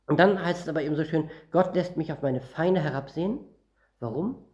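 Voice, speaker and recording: A man's voice: on the high side, a little fast, a little mellow. A man from northern Germany preaching a Bible-teaching sermon, reading verses aloud and explaining them word by word in a conversational way.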